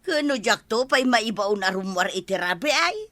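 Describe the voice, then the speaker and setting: A woman with an Ilocano accent acting as an excitable, mocking villager in a radio comedy drama. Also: medium pitch, moderate pace, no room sound, natural voice. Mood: mocking, animated.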